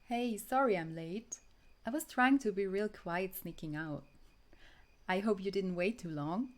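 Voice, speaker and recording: A woman acting a tender, wistful girlfriend in an intimate romantic audio roleplay, speaking softly and breathily, often dropping toward a whisper. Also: medium pitch, unhurried, close to an everyday voice. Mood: concerned, affectionate. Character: tender, wistful time-traveling girlfriend speaking to her beloved.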